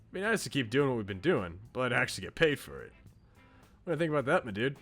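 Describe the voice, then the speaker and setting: A man's voice: mid-range voice, very animated, fast talker, a bit bright, faint music behind. Man acting a brash, loyal young bro. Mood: upbeat. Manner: casual, slangy, earnest.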